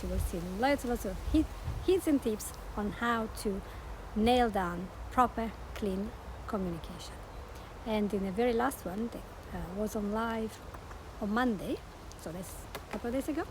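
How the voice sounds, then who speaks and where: Animated Italian-accented woman, noisy recording. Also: mid-range voice. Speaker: podcast host and life coach. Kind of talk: promotional monologue.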